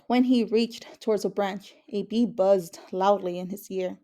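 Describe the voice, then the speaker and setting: A woman's voice: mid-range voice, fairly even intonation, a little slow, dark tone. A woman reading a children's picture book aloud as a storyteller. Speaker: story reader.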